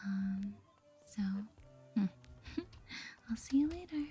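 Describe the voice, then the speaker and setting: A woman speaking softly in a breathy whisper, chatting through an ASMR game playthrough. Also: mid-range voice, expressive, slow. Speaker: gaming video host. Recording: soft music behind the voice. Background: music.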